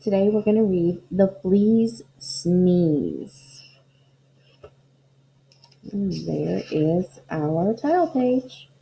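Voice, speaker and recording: A woman teacher speaking in simple, deliberate phrases to children, as in a storybook read-aloud. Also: fairly low pitch, animated, vocal fry, dark tone. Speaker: elementary school teacher. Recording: dull lo-fi audio with faint noise.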